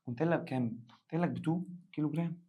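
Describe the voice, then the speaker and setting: An Egyptian man with a rough, worn-out voice runs a quick science revision. He talks fast and keeps the energy high, pitching up loudly whenever he acts out a student asking for help. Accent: Egyptian Arabic. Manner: animated, acts out student questions in a raised voice. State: hoarse. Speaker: science teacher. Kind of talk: lively exam revision lesson.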